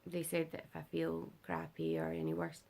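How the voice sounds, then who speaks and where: Rough, gravelly British woman vlogging. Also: completely flat delivery, moderate pace, a little mellow. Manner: rambling, filler-heavy, low-energy. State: unwell and sleep-deprived. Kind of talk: casual health update vlog.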